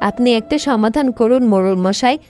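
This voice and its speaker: A woman voicing a fretful, sharp-tongued village mother in a cartoon, complaining emphatically. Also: on the high side, brisk, faint music behind, close to an everyday voice. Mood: pleading. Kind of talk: cartoon voice acting.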